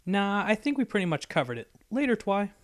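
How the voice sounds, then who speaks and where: A man's voice: high voice, big pitch swings, fairly quick, no room sound, pitched above the performer's own voice. A man voicing a brash but easily sheepish young female pegasus pony in an audiobook, with a stammering, eager manner. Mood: eager, upbeat. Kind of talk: acted audiobook dialogue.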